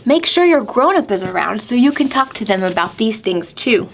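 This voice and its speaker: A woman presenting storytime to preschoolers, asking simple questions and stressing key words deliberately. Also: on the high side, very animated, gravelly, a little hiss or hum. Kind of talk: storybook reading and talking to young children.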